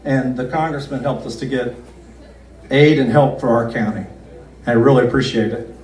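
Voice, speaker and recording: A man who is a county commissioner giving a folksy, earnest campaign speech at a picnic, slowing down to stress his points. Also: mid-range voice, fairly even intonation, a little mellow. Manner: folksy, earnest, with slow emphatic stretches. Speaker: county commissioner running for reelection. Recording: roomy, distant PA-style recording.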